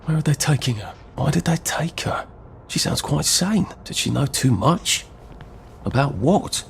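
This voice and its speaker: A man's voice: on the high side, expressive, unhurried, creaky, slight background noise. An actor playing a mild, curious young Englishman in a video game, muttering hesitantly and wryly to himself with an English accent. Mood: puzzled musing.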